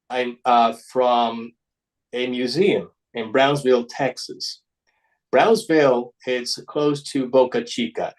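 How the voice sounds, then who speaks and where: A man with an Ecuadorian Spanish accent giving an informal slide presentation, speaking haltingly and often restarting his words. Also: medium pitch, poor audio. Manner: halting, with repeated word restarts. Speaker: guest presenter, paper model designer.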